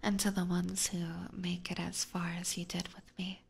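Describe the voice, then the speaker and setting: A woman's voice: lowish voice, completely flat delivery, moderate pace, full character voice. A woman playing an obsessive, possessive vampire catgirl in an ASMR roleplay, whispering closely and breathily with doting, menacing sweetness. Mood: adoring, possessive. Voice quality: breathy, mostly unvoiced whisper. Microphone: close binaural ear microphone.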